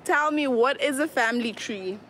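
A woman interviewing people on the street, upbeat and quick with her questions and thanks. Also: sits high, fairly bright tone. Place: outdoors on a street. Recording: street recording with faint noise.

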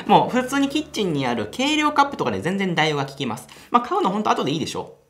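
A man's voice: high-pitched, sing-song, racing. A young man hosting a coffee channel, chatting enthusiastically as he demonstrates brewing in a small home café room. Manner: enthusiastic, chatty, laughs while explaining. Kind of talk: casual how-to demonstration. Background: background music.

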